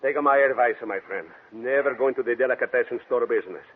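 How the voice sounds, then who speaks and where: An actor playing a weary, friendly immigrant deli owner who grumbles amiably with foreign-sounding phrasing, in a 1940s radio drama. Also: male voice, mid-range voice, quick pace, lightly acted. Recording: tinny vintage 1940s radio recording.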